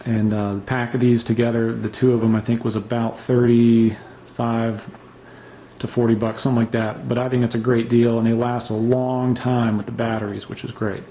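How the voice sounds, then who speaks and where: A man's voice: medium pitch, level tone, normal speed, warm tone, a little hiss or hum. A man casually showing and reviewing his emergency gear, unscripted, with many fillers and restarts. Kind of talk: casual show-and-tell review.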